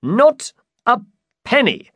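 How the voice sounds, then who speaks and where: A man's voice: very high voice, huge pitch swings, slow, sharp tone, dry recording, clean studio sound, voice pushed up, heavily acted. A man voicing an eager, pompous old would-be knight in a storybook, speaking with earnest chivalry. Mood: proud, excited. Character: eager, grandiose old man who believes he's a knight. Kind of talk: dramatized storybook reading.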